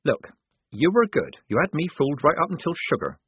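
A man's voice: on the high side, wildly swooping pitch, a little fast, husky, dry recording, voice pushed up, full character voice. A man voicing a sensible young woman in an audiobook, speaking with dry, careful reasonableness. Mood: dry, frank. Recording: narrow phone-like sound.

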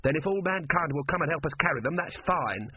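A man's voice: a little high, lively intonation, fast, husky, dry recording, pitched above the performer's own voice. A man voicing a frantic, practical and stubborn male character rescuing books, heard through a narrow radio-like band. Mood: exasperated. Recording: phone-like narrow radio sound.

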